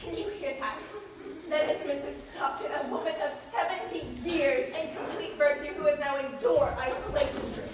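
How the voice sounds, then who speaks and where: A woman's voice: high-pitched, fairly even intonation, normal speed, close to an everyday voice. A woman on a school stage playing a proud, defiant wife confined in an asylum, speaking formally and emphatically. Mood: indignant. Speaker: student stage actor. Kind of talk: high school stage play acting. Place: large indoor stage, recorded from far off. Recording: echoey noisy stage hall, far mic.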